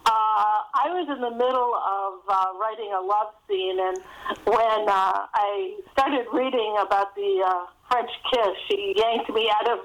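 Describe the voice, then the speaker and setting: A woman's voice: medium pitch, measured pace, fairly bright tone, rough recording. A middle-aged or older woman, an author guest telling stories casually in an interview.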